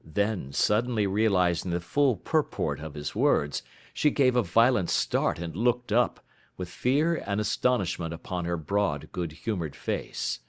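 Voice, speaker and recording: Man narrating a story, lively pitch. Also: no echo, clean studio sound. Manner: measured, storytelling. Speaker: audiobook narrator. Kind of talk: scripted narration.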